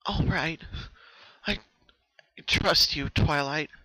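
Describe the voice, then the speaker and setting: A man acts out a young female party pony from a cartoon story, pitched very high and emotional. She is anxious and tearful, pleading and stumbling over her words, with a bright, forceful edge. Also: extremely slow, no echo, exaggerated voice, poor audio. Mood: timid. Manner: stammering, pleading, emphatic.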